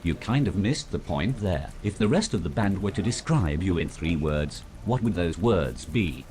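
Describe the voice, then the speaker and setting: A man with a low voice and lively, swinging intonation. He delivers scripted narration and puts questions as an interviewer. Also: moderate pace.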